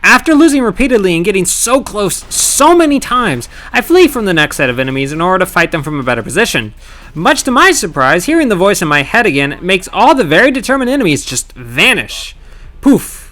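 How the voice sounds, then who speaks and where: A man narrating his own gameplay challenge in scripted voice-over, wry and sarcastic with playful dramatic pauses. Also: high voice, big pitch swings, a bit bright. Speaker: gaming channel host. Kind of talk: scripted comedic game commentary narration.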